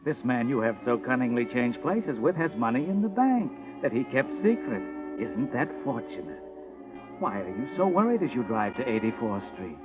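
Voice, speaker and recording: An actor playing a sinister, all-knowing narrator of a 1940s radio mystery, taunting and ominous, on a thin old recording with music. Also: male voice, medium pitch, sing-song, moderate pace, narrow, tinny audio, close to an everyday voice. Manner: taunting, ominous, second-person address. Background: prominent dramatic music.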